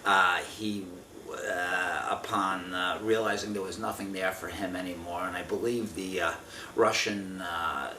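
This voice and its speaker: A man in his fifties answering an oral history interview from memory, speaking in a halting, careful, measured way. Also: low voice, fairly even intonation, pushed and bright. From Israel. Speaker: interviewee giving family testimony. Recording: roomy, distant mic with faint noise.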